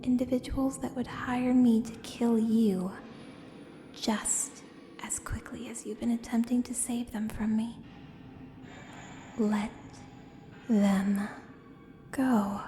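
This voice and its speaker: A woman playing a menacing, coolly seductive contract killer in an ASMR audio roleplay, speaking in a hushed, deliberate, breathy voice with pointed pauses. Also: fairly high pitch, fairly even intonation. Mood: cool threatening. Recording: roomy long reverb, clear background noise.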